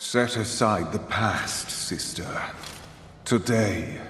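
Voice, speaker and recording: A man's voice: lowish voice, fairly bright tone. A man playing a solemn, loyal horseman of the apocalypse in a video game, speaking formally. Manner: formal, measured. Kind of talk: dramatic video game voice acting. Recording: echoey hall with faint noise.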